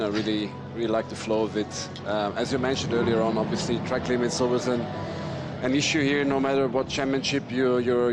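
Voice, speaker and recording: Flat-voiced hesitant male guest commentator. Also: mid-range voice, heavy background noise. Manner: hesitant, repeats words, fillers. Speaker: racing driver, guest co-commentator. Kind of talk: casual commentary chat. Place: commentary booth.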